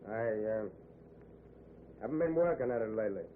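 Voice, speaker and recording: An actor playing a sullen, evasive hitchhiker with a terse, hesitant manner, in 1940s radio drama style. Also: male voice, on the low side, moderate pace, lightly acted. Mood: guarded, evasive. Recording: tinny, noisy old radio recording.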